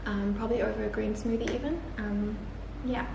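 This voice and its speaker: Young woman, casual vlog chat, filler-heavy, gravelly fry, echoey room. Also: on the high side, steady tone, fairly quick. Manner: chatty, filler-heavy, upbeat. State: croaky from just waking up. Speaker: vlogger. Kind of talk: casual day-in-the-life vlog. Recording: echoey room with clear background noise.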